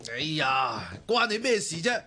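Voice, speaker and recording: A drunken gambler, the bitter older brother of a radio drama family. He is belligerent and full of self-loathing, prone to loud outbursts. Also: fairly high pitch, big pitch swings, a little slow, background noise. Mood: bitter, drunken remorse. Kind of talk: Cantonese radio drama acting.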